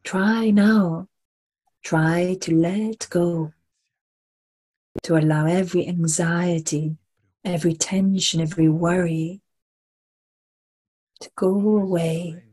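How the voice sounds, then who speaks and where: A woman leading a guided relaxation meditation in a soft, breathy voice, soothing and deliberate, repeating phrases with long pauses. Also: fairly low pitch, fairly even intonation.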